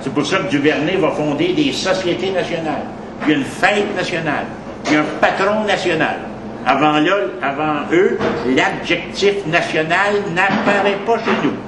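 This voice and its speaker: A man's voice: a little high, normal speed. A man with a Québécois French accent giving a history lecture, digressive and prone to restarts, stressing key words. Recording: echoey room, mic far off, background noise.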